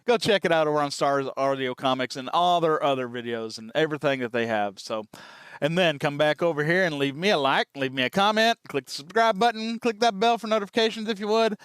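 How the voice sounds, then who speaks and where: A man's voice: a little high, fairly quick, a bit bright, clear, resonant tone, no echo. A man hosting a reaction video, giving casual, rambling, informal commentary.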